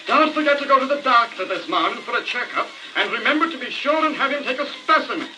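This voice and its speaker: A crude, cheerful Irish husband in a very high voice, full of folksy exclamations. It is old comedy record acting, set far back under heavy crackle and hiss. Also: male voice, expressive, fast talker. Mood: fond warning. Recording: noisy crackling 78 rpm record, distant.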